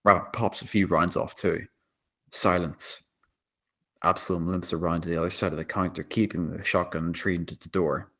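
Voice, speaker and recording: A man's voice: sits low, fairly even intonation, normal speed, fairly bright tone. A man reading out stage directions as scripted screenplay narration at a table read.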